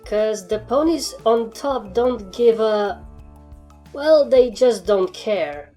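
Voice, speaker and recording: A woman voicing a world-weary alien turned pony in a dry, deadpan, matter-of-fact way for a fan fiction reading. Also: fairly high pitch, slow, voice pushed up. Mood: dry, resigned. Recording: music behind the voice.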